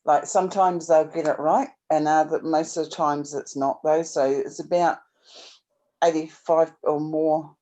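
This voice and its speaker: A woman's voice: sits low, fairly even intonation, measured pace, dark tone. A woman chatting casually as a participant in a group session, agreeable and a little hesitant. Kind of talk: casual chat.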